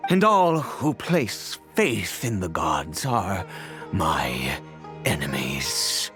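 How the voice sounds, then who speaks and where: A cursed immortal nobleman from a fantasy game, weary and bitter. His delivery is slow and halting, full of anguish, and his pitch rises and plunges dramatically. Faint music plays behind him. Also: big pitch swings, full character voice. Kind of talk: dramatic video game voice acting.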